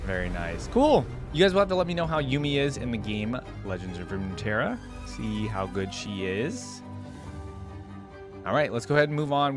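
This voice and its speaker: A young man giving casual reaction commentary in an easygoing, slangy way, thinking aloud at a desk microphone. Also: medium pitch. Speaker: reaction video host. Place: indoor room at a desk, gaming chair. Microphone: desk microphone close in front, headset worn. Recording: music playing behind the voice. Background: music.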